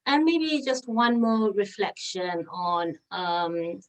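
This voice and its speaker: A woman's voice: mid-range voice, animated, takes it slowly. A woman hosting an interview, asking questions in a conversational style. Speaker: interviewer, host. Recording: distant from the microphone.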